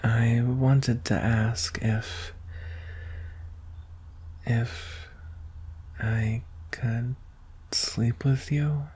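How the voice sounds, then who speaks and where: A performer voicing a shy, anxious young alien prince in an ASMR roleplay, speaking softly and hesitantly in a breathy, husky half-whisper. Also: medium pitch, steady tone, heavily acted. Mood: timid, lonely. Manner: hesitant, halting, tender. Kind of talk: ASMR roleplay, soft intimate delivery.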